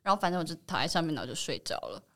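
A woman's voice: low voice, sing-song, rapid-fire, sharp tone. A woman with a Taiwanese Mandarin accent, an actress guesting on a show and telling anecdotes in casual chat.

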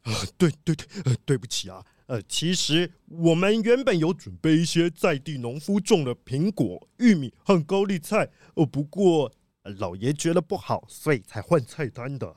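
A man's voice: on the high side, sing-song, normal speed, darkish tone, no echo, clean studio sound. A man playing a deferential, easily flustered butler in a children's audio drama, polite and apologetic. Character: deferential, flustered butler serving a rich master.

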